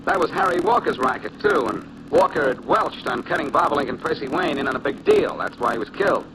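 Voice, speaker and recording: An actor playing a confident, wisecracking amateur detective who explains things breezily, in 1940s radio drama style. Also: male voice, on the high side, animated, brisk, vocal fry. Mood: matter-of-fact explaining. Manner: breezy, self-assured explaining. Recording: noisy vintage 1940s radio recording.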